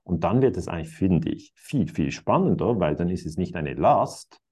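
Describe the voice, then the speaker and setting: A man's voice: deep voice, lively intonation, normal speed, a little mellow, husky. A man in his fifties talking reflectively in conversation, musing aloud and chuckling now and then. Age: 50s.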